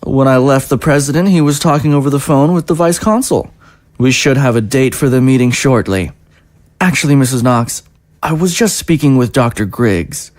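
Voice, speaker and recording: A woman's voice: extremely low pitch, moderate pace, darkish tone, no echo. A woman playing a courteous government aide with a scheming, coolly coaxing manner.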